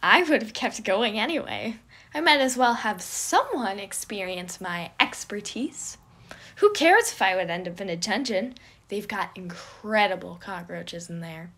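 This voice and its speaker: A woman's voice: medium pitch, lively intonation, measured pace. A woman voicing a boisterous, theatrical and eccentric man in an audio story. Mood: brash amusement. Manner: loud, theatrical, exclaiming. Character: boisterous, gleefully chaotic eccentric man who scolds birds. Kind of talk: fan fiction audio story character.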